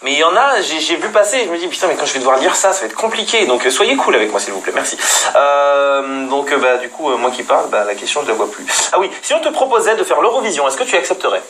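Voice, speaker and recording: A man's voice: fairly high pitch, big pitch swings, fast talker, fairly bright tone, slight background noise, low-quality recording. A man hosting a casual live stream, reading out viewers' questions in an informal, teasing way. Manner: informal, teasing, filler-heavy banter. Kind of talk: casual, joking interview chat.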